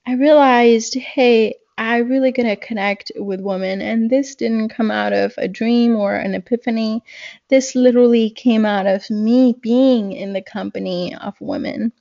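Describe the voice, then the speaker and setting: Slow-spoken woman from Pakistan, reflective. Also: on the high side, gravelly, mellow, muffled recording. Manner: slow, reflective, with many fillers and restarts. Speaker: guest. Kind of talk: conversational interview.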